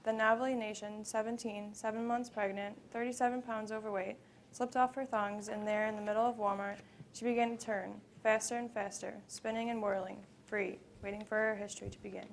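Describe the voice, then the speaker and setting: A woman reading prose narrative aloud at a live event, in a measured, dramatic way with phrases that trail off. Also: medium pitch, level tone, vocal fry. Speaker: student performer in readers theater. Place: live college library event. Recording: live event recording with background noise.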